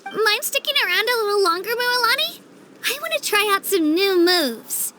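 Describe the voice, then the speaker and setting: A woman's voice: very high voice, animated, moderate pace, bright, forceful tone, slight background noise, exaggerated voice. A woman playing a sweet, earnest, slightly nervous young girl trainee in a video game. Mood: earnest, thoughtful.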